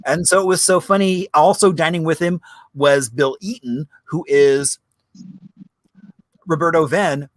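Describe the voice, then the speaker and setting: A man's voice: fairly high pitch, unhurried, long pauses, dry recording. Chatty, upbeat man hosting a history show. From Arizona. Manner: chatty, enthusiastic storytelling. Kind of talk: casual livestream chat. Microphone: video call.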